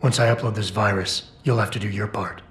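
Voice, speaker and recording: A man's voice: fairly low pitch, fairly even intonation, brisk, a bit bright, lightly acted. An actor playing a stoic, determined male cyborg operative in a video game, with a clipped, controlled delivery. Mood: terse, focused. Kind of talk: video game cutscene dialogue. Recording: roomy game cutscene with music. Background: background music.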